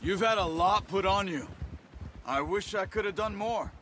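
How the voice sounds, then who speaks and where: An actor playing a capable American outlaw hunter, measured and understated, in a video game cutscene. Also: male voice, sits high, pushed and bright, a little hiss or hum. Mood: subdued.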